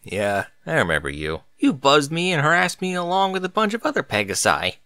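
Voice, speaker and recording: A man voicing a nervous, earnest male unicorn pony in a story reading, with a halting way of talking. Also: on the high side, very animated, bright, forceful tone, dry recording, studio quality, voice pushed up, full character voice. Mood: impatient.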